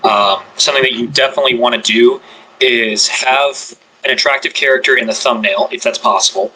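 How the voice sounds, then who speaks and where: A man's voice: mid-range voice, fairly even intonation, fairly quick, sharp tone, husky, low-quality recording. A man on a podcast panel giving casual tips on making content, chatty and loose. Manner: chatty, loose with filler words and restarts. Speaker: ASMR creator on a podcast panel.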